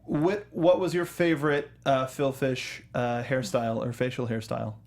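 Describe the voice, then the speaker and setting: A man's voice: medium pitch, fairly even intonation, normal speed, a little mellow. A man hosting a casual film review discussion, often rephrasing himself and joking as he goes. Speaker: talk show host.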